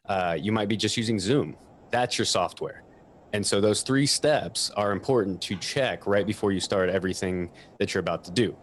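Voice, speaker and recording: A man's voice: sits low, slight background noise. A man giving an informal technical explanation as a guest equipment expert.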